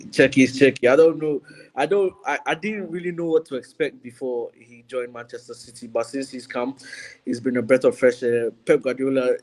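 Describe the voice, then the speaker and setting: A man's voice: mid-range voice, darkish tone, low-quality recording. A man giving his views as a panelist on a football podcast, in conversational punditry. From Ghana.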